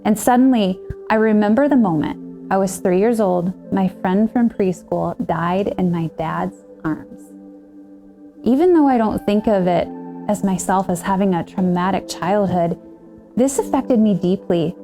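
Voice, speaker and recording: Woman, 30s, earnest testimonial, warm dark tone, faint music underneath. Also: mid-range voice, unhurried. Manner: earnest, personal storytelling. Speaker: client and certified coach. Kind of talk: scripted testimonial.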